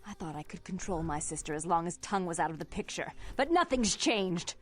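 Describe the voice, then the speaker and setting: A haughty, commanding vampire woman speaks in sharp, scolding phrases. Her pitch swings widely as she hands down orders and accusations, with some music behind her. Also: expressive, a little fast. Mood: bitter, controlled. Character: imperious, scolding woman vampire, controlling older sister. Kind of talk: video game voice acting.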